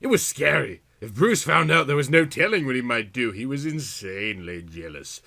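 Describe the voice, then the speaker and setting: A man's voice: mid-range voice, animated, moderate pace, vocal fry, bright, forceful tone. A man reading a story aloud in a theatrical, exaggerated, melodramatic comic style. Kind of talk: melodramatic comic audiobook narration.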